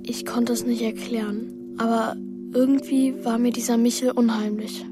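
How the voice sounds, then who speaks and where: Woman as high-voiced, anxious young boy. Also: flat delivery, moderate pace, over music, lightly acted. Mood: uneasy narrating. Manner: hesitant, earnest. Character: Bela, anxious thoughtful boy narrating his story. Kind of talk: radio play acting and first-person narration.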